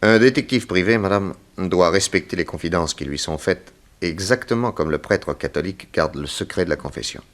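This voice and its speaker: A deep-voiced private detective played by a male actor in a vintage French radio play. He questions people with measured courtesy and quiet persistence. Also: lightly acted. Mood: probing, courteous. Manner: polite, measured, probing. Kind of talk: 1960s French radio drama acting.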